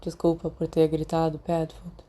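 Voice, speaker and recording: A woman's voice: low voice, monotone, moderate pace, dark tone, lightly acted. A woman voicing a hot-tempered, wounded, sarcastic teenage boy in a story, with a Brazilian accent and emphatic delivery. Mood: subdued, guarded. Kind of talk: podfic character acting.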